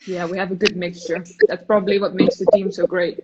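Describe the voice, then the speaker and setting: A woman's voice: lowish voice, little pitch movement, fairly quick, noisy background. A Dutch woman with an American-tinged English accent, chatting as a guest, cheerful and earnest with easy giggles. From Netherlands. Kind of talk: casual chat. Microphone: phone. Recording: muffled phone audio.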